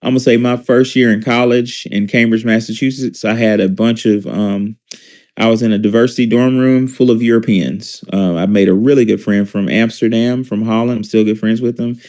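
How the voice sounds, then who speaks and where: A man's voice: lowish voice, fairly even intonation, normal speed, a little mellow. Blunt man hosting a talk show. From Alabama. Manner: blunt, emphatic, profane asides. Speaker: host. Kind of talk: casual commentary talk show.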